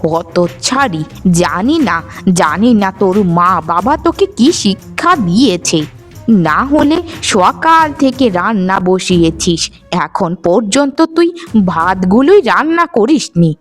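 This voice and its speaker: A woman voicing a scheming middle-aged mother-in-law in a melodramatic cartoon, scolding and accusing with drawn-out sarcasm. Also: medium pitch, big pitch swings, faint music behind. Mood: angry scolding. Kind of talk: melodramatic moral-story cartoon acting.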